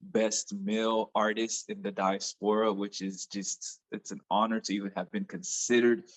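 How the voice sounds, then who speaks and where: A man with a Nigerian accent speaks in a lowish voice. He is a music artist guest chatting eagerly in an interview, often repeating his words. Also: level tone, moderate pace. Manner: enthusiastic, repeats words as he talks. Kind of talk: casual interview chat.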